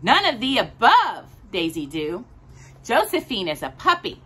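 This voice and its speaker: Woman reading as a doting, animated storybook daddy. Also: fairly high pitch, a little slow, breathy edge, heavily acted. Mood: playful. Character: loving, playful daddy talking to his little daughter. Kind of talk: children's picture book read aloud.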